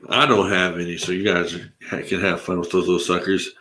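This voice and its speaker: A man hosting a live stream from home, with a stuffed-up, congested sound. His voice sits fairly low, and he rambles along in a laid-back way. The room gives it a roomy echo. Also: sharp tone. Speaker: livestream host. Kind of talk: casual live-stream chat.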